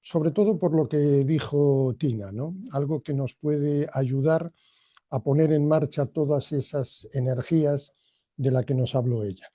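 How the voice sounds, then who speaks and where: A man's voice: fairly high pitch, measured pace, dark tone, dry recording, clean studio sound. A man gives a motivational training talk in a reflective, personal way, addressing listeners directly. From Argentina, emigrated to Spain as a boy.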